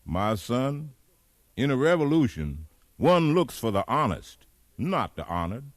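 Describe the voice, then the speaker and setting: An actor playing a devout, fatherly elder among rebel slaves, measured and preacherly in 1950s radio drama style. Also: medium pitch, very animated, no echo, clean studio sound. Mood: solemn counsel. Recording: remastered 1950s radio broadcast.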